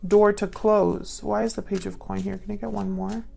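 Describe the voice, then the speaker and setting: Woman tarot reader, slightly creaky voice. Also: medium pitch, flat delivery, warm tone. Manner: musing, pausing, thinking aloud. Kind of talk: casual intuitive card reading to viewers.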